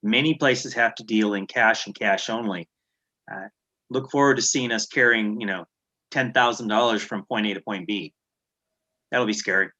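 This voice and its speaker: Bright-voiced man with concerns. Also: lowish voice, moderate pace, lo-fi sound. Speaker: livestream participant. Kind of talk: casual discussion.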